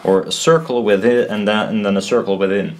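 Guy with a lowish voice explaining his diy thing at a desk, kinda halting, thinks out loud, blunt and casual. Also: steady tone, a little hiss or hum. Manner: halting, self-doubting, trailing off and correcting himself. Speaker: maker explaining a DIY build. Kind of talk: informal hands-on explainer, thinking aloud. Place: indoors at a desk.

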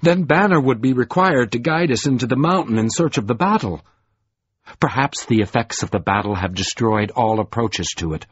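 A man voicing a grave, stern lord who speaks in measured, formal, old-fashioned sentences, on a dull lo-fi recording. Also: medium pitch, animated, breathy edge, dry recording. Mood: solemn. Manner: measured, formal, archaic speech. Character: solemn grave male lord, stern, weary.

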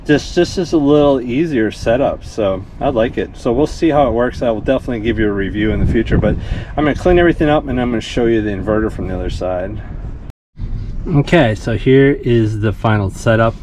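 A man's voice: medium pitch, fairly quick. A man in his fifties walking through a van electrical setup in a rambling, self-deprecating, think-aloud way, filmed on a handheld camera inside a van. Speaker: van-build vlogger. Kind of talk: casual how-to walkthrough. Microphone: handheld action camera. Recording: noisy van interior.

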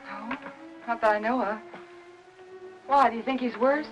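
Actress as a high-voiced 1950s film housewife. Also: female voice, moderate pace, highs cut off, lightly acted. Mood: worried, hesitant. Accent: American. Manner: gentle, hesitant, polite. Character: anxious, devoted young housewife, polite and gentle. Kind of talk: 1950s Hollywood film acting. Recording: old film soundtrack with music.

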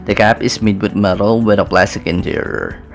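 A young man with a lowish, gravelly voice narrates a product review in a steady, methodical way. He describes each detail carefully, like a prepared script. Background music runs clearly under his voice. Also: normal speed. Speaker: fragrance reviewer. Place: small indoor room with brick-pattern wall.

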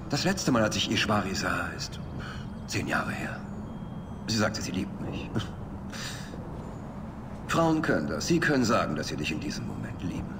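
An actor playing a flamboyant, menacing middle-aged warlord in a German video game, theatrical, mercurial and mock-polite. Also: male voice, medium pitch. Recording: long reverb, clear background ambience noise.

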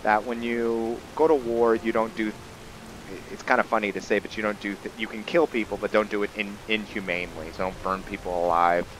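A man giving unscripted, reflective roleplay gameplay commentary, thinking aloud in a halting, musing way. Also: on the low side, fairly even intonation, a bit bright, slight background noise, low-quality recording. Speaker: gamer narrating a roleplay playthrough. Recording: game rain and thunder behind voice. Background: game ambience of rain and thunder.

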